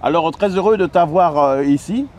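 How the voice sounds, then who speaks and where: A man's voice: on the high side, a little mellow. A man hosting an outdoor interview in a city park, introducing his guest and asking questions with frequent hesitant fillers. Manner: friendly, halting with frequent "euh" fillers. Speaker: interviewer and host. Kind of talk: casual interview. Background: faint outdoor ambience with birds and traffic.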